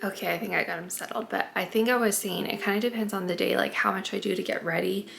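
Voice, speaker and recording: A young woman vlogging casually at home as a stay-at-home mom, chatty and often hushed, congested and a bit raspy from allergies. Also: mid-range voice, steady tone, fast talker, over music. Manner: chatty, lots of "like" and "um", often hushed to not wake the baby. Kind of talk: casual vlog talk to camera.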